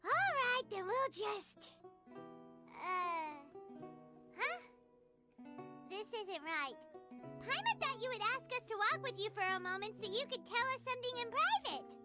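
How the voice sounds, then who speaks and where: A woman voicing a tiny, excitable, childlike fairy companion with a squeaky voice in a video game. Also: unhurried, long pauses, loud music behind the voice, heavily acted. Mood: surprised, puzzled. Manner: speaks of self in third person, blurting.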